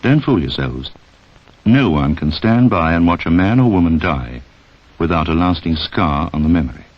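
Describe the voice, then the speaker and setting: A man narrating a 1960s instructional film in a scripted, direct style that addresses the listener. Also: sits low. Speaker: narrator of a public information film. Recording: dull band-limited 1960s film soundtrack.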